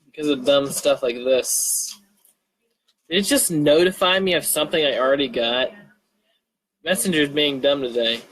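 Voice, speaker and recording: A man's voice: a little high, normal speed, fairly bright tone, low-quality recording. A man chatting casually on a livestream, rambling and muttering to himself as he goes. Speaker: live streamer.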